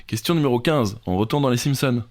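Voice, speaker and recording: A man's voice: mid-range voice, animated, fast talker, darkish tone. A man co-hosting a quiz podcast, telling trivia in an informal, jokey way. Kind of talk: casual quiz banter with trivia anecdotes.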